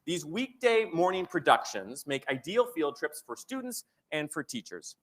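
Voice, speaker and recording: A man's voice: a little high, sing-song, moderate pace, a bit bright. An American man hosting a live theater celebration, presenting partly from a script in an informal, bantering way. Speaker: host of a theater's season celebration. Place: live theater stage before an audience.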